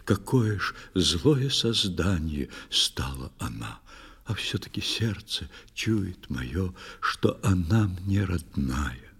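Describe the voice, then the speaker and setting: A man's voice: sits low, big pitch swings, vocal fry, fairly bright tone, breathy edge, heavily acted. Audio play role: man acting meek, pious old fisherwife, hesitant verse delivery, big hall reverb. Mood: hushed sorrow. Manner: hesitant, slow, deferential. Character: timid, humble, pious old fisherman's wife.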